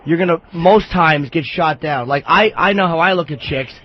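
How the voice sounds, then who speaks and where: A man's voice: fairly high pitch, normal speed. A man on a radio panel giving blunt, casual opinions in a matter-of-fact way. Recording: dull radio broadcast sound.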